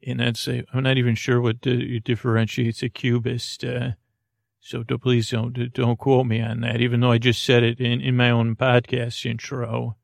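A man hosting a bedtime podcast, rambling in a halting, meandering, self-interrupting way with gentle goofiness and reassurance. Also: medium pitch, monotone, gravelly, no echo, clean studio sound. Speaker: podcast host.

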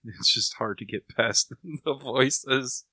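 A man's voice: mid-range voice, slow, sharp tone, no room sound. A man co-hosting a podcast, chatting casually and conversationally. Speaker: podcast co-host. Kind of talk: casual chat.

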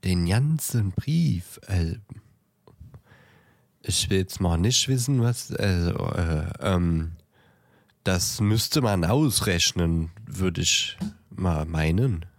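Male actor as bemused postal clerk, lowish voice, very slow, stammering, obliging, dry recording, audio play. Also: lively intonation, dry recording, exaggerated voice. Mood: flustered. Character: flustered, obliging male post office clerk. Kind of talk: amateur audio drama acting.

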